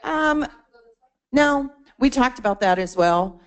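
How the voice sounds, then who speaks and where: A woman's voice: a little high, animated, slow pace. A woman presenting to a membership meeting, explaining a support portal conversationally, with fillers and restarts. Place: meeting room.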